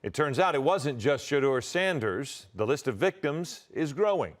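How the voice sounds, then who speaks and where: A man's voice: medium pitch, animated, normal speed. A man with an American accent presenting broadcast news as an anchor.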